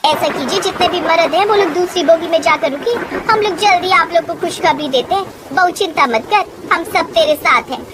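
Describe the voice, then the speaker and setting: A woman's voice: extremely high pitch, a little fast, few pauses, background noise, pitched above the performer's own voice. A woman voicing a helpful, reassuring woman passenger and seasoned nurse in a cartoon story.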